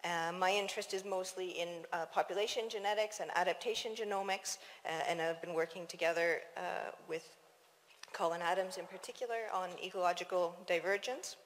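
Canadian woman scientist doing a conference talk, kinda halting, big echoey hall. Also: on the low side, a bit bright. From Toronto, Canada. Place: large dark conference auditorium with audience. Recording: reverberant auditorium, long hall echo.

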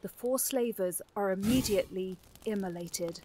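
A woman's voice: mid-range voice, moderate pace, mellow. Female narrator, fantasy audio drama, mid-range voice, slight background noise.

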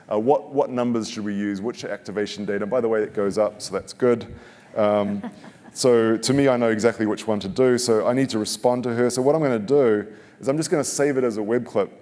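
A man's voice: on the low side, a little mellow. A man in his forties giving a casual, joking live product demo on a conference stage, often stopping and restarting his sentences. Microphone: headset mic. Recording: long reverb of a big hall.

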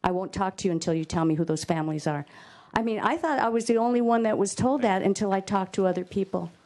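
A woman's voice: medium pitch, quick pace, darkish tone. A woman, a parent, making an impassioned public comment, heartfelt with stumbling restarts. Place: state hearing room.

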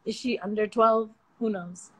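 A woman's voice: mid-range voice, fairly even intonation, unhurried, husky, rough recording. A woman in her twenties hosting a casual livestream from her bedroom at night, speaking into a phone held close, bubbly and affectionate with frequent giggles. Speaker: livestream host.

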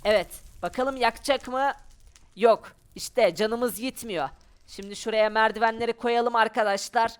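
A woman's voice: on the high side, fairly even intonation, measured pace, smooth. A woman giving playful game commentary, exclaiming and narrating each of her moves aloud. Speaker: gaming video player. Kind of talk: playful Minecraft adventure commentary.

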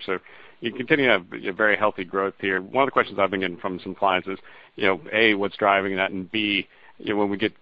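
A man's voice: low voice, no room sound. A man working as a financial analyst, putting a question on an earnings conference call over a phone line.

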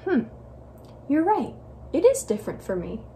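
A woman reading aloud as a gentle, attentive, loving mother in a picture book, with a questioning manner. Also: high-pitched, big pitch swings, normal speed, slight background noise. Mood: thoughtful, tender.

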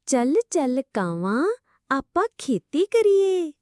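A woman's voice: sits high, sing-song, slow, a little mellow, no echo, clean studio sound, exaggerated voice. A woman voicing an innocent, hardworking little female sparrow, urging in simple repeated calls for a children's story. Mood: eager urging.

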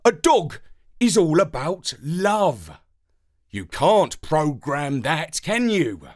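Storybook dog narrator, male reader: dramatic, enthusiastic, hugely animated, slightly high, slow-paced. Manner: theatrical, enthusiastic. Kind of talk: scripted children's story narration.

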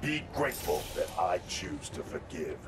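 An actor playing a proud, stern ninja master in a fighting game, with terse, formal, solemn lines. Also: male voice, low-pitched, sing-song, normal speed. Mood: proud, grave. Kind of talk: fighting game character acting. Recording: very loud background noise.

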